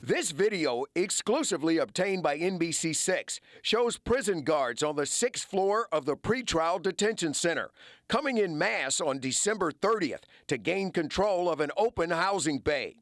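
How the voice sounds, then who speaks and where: Male TV investigative reporter, American accent, fairly high-pitched, delivering broadcast news copy. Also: lively intonation, moderate pace, fairly bright tone, no room sound, clean studio sound.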